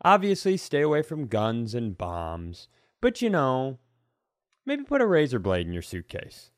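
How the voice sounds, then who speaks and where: A man's voice: mid-range voice, huge pitch swings, unhurried, no echo, studio quality. A man chatting casually as a comedy podcast host, sarcastic and given to acting out the people he describes. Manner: sarcastic, acts out quoted characters.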